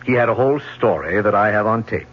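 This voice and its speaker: An actor playing a ruthless, domineering middle-aged business tycoon in an old radio drama, speaking in a cool, commanding way. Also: male voice, on the low side, fast talker, natural voice. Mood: scornful. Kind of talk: 1970s radio drama acting. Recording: dull, noisy old radio broadcast.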